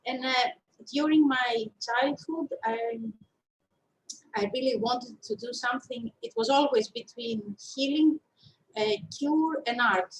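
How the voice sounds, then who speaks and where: A woman's voice: on the high side, slow pace, low-quality recording. A woman in her fifties with a Greek accent, telling her story as an interview guest in a thoughtful, hesitant way. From Greece. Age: 50s. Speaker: interview guest, shiatsu practitioner and teacher.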